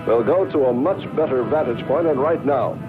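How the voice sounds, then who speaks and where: A man's voice: medium pitch, moderate pace, close to an everyday voice. A man playing an urgent, commanding space expedition leader, speaking tersely in a dubbed 1960s science fiction film. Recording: tinny old film audio, loud music.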